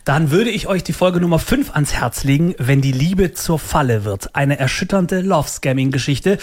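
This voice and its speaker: Man, from southwest germany, podcast co-host, joking, chatty, slightly higher voice. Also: expressive. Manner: chatty, joking, quick asides. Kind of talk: casual chat.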